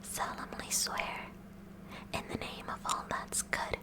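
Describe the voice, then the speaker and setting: Woman whispering as a kooky science club girl. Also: natural voice. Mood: officious, eager. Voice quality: breathy, unvoiced whisper. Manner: slow, deliberate, mock-official with awkward stammers. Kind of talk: whispered ASMR roleplay.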